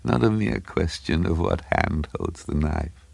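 A man voicing a small, conceited, furtive madman in a story, with a low breathless mutter, a bubbling impediment and a halting, stammering delivery. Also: gravelly, a little mellow, breathy edge, no echo, full character voice. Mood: confiding, sly.

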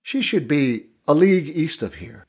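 A man's voice: a little high, very animated, normal speed, husky, pitched above the performer's own voice. A man voicing a dutiful leprechaun soldier in a fantasy audiobook, giving reports in a formal manner. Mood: matter-of-fact.

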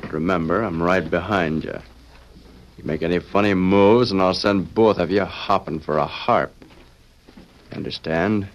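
An actor playing a threatening Western gunman, a henchman in radio drama, giving curt, gruff commands. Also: low-pitched, a little slow. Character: gruff, threatening outlaw henchman Speck with a gun. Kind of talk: 1940s radio Western acting.

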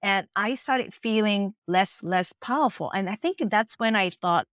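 A woman's voice: medium pitch, a little slow, a bit bright, no room sound, studio quality. A middle-aged woman with a Japanese accent, a face yoga teacher answering interview questions in an earnest, reflective way. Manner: earnest, reflective storytelling with acted quotes.

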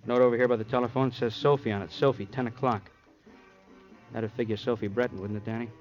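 An actor playing a down-to-earth police detective in a vintage radio crime drama, talking in a casual, wry, musing way. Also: male voice, mid-range voice, steady tone, fast, faint music behind, natural voice. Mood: grim, musing. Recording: dull old radio recording.

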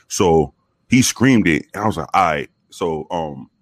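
A man from the Bronx with a very deep voice, telling profane, animated stories with many restarts in a casual interview. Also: unhurried, no echo. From the Bronx, New York. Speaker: guest, former police officer.

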